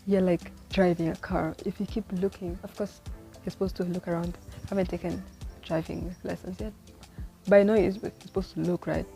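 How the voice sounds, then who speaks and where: A woman's voice: on the low side, steady tone, a little fast, gravelly, mellow, faint music behind. A twenty-year-old woman vlogging casual life advice, rambling and chatty with lots of "like" and "you know", often laughing at herself. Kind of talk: casual rambling monologue to camera. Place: in the city where she lives.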